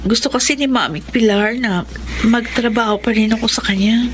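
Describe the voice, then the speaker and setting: A woman's voice: fairly high pitch, normal speed, background noise, natural voice. An actress playing a sincere, tender young woman in a Filipino radio drama. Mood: earnest, hurt. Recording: music bed behind the voice.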